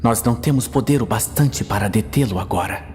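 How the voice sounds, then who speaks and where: A man voicing a weary, resolute archmage in a fantasy audio series, speaking in a grave, measured way. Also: fairly low pitch, darkish tone, big-room sound, a little hiss or hum, lightly acted. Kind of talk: dramatic fantasy audiobook acting.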